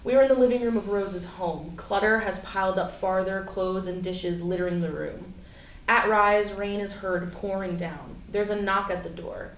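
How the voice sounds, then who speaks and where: Female stage-directions reader, mid-pitched, scripted narration, echoey and away from the mic. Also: steady tone, moderate pace. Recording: echoey, distant mic, faint background noise.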